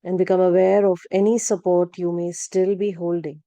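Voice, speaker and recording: A woman guides a meditation in a calm, gentle manner. Her voice sits a little low and is very mellow and warm, captured dry and close without room sound. She gives short instructions without hurry and leaves generous pauses between them. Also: dry recording. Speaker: yoga nidra guide.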